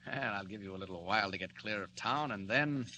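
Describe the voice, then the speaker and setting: An actor playing a confident, defiant superhero in a 1940s radio serial, self-assured and wry in a declamatory way. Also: male voice, fairly low pitch, fairly quick, bright, forceful tone. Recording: very noisy old radio recording.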